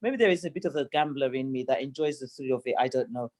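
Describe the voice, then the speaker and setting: A man's voice: mid-range voice, fast, darkish tone. A man learning to trade, chatting casually and agreeably with his mentor. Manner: agreeable, says yeah a lot. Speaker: student trader. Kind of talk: casual chat.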